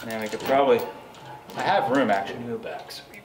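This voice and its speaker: A man talks casually while he works, thinking out loud. The sound is very roomy and picked up from a distance, with clear background noise of clanks and clicks. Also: medium pitch, fast. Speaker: garage host. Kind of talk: casual chat while working. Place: garage workshop.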